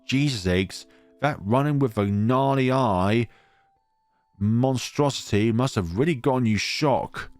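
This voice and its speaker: A man's voice: medium pitch, unhurried, darkish tone, no room sound, faint music behind, lightly acted. A man voicing a wry, skeptical young male friend in a visual novel, teasing and exasperated.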